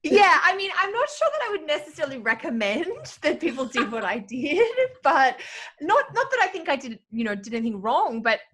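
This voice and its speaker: A woman in her forties with a slightly softened Australian accent, chatting casually as an interview guest. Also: sits high, very animated, a little fast. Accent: Australian, slightly softened by years in Canada. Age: 40s. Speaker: guest, astrologer and teacher.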